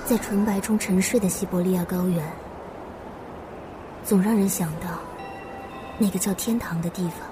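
An actress playing a pensive, gentle traveller, narrating her reflections in short measured phrases for a radio drama. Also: medium pitch, natural voice. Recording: clear music under the voice.

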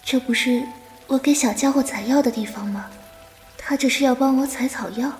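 An actress playing a sick, gentle young woman herbalist in a period wuxia audio drama, speaking softly, tenderly and wearily. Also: high-pitched, normal speed, soft background music, close to an everyday voice. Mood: tender, protesting. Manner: gentle, weary, tender, coaxing.

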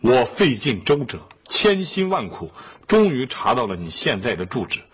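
A man playing a sardonic, excitable middle-aged husband and father in a family sitcom. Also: mid-range voice, moderate pace, husky, heavily acted. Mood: theatrical reading. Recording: faint background noise.

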